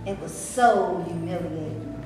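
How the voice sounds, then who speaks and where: A woman's voice: on the low side, a little slow, darkish tone, natural voice. Woman acting an outspoken, indignant maid in an echoey room. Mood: wry, indignant. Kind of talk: staged reading of a stage play. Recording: echoey room, faint noise, live stage.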